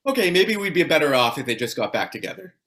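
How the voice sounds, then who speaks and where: A man's voice: fairly high pitch, big pitch swings, fast talker, a bit bright. A man playing an affectionate father in his late thirties in a drama table read. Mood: easygoing, affectionate.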